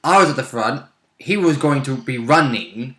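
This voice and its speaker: A man's voice: medium pitch, animated, normal speed, pushed and bright. A man chatting informally as a player recounting a tabletop role-playing game, often chipping in. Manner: informal, interjecting. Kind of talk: casual chat, recounting game events. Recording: echoey room.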